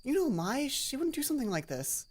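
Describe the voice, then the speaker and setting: A woman's voice: high-pitched, big pitch swings, brisk, voice pushed up. A woman voicing an anxious, loyal young schoolgirl with a hesitant, protesting manner. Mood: flustered, defensive.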